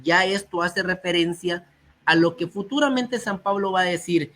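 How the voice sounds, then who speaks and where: A man with a somewhat high voice, slightly hoarse from a cold, gives a teaching talk. He reads passages aloud and then explains what they mean. A faint noise sits behind him. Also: moderate pace, pushed and bright. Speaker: guest speaker. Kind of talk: teaching talk on early church texts.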